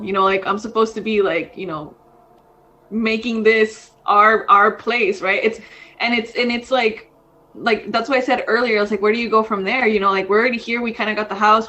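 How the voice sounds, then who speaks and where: A woman in her thirties chatting loosely on a podcast. She rambles, circles back and leans on filler words, at a mid pitch. Her talk stays relaxed and unscripted. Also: poor audio. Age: 30s. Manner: rambling, frequent fillers, says dude. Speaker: podcast co-host. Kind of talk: casual chat.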